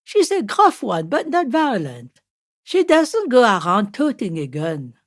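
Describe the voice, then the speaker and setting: An elderly washerwoman from a poor village for a video game. She talks slowly, with a lively rise and fall, wry and blunt in a folksy way. The recording is dry and close. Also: on the high side, dry recording, full character voice. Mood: calm, wry. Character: weathered old village washerwoman, plain-spoken and unafraid. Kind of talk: video game character dialogue.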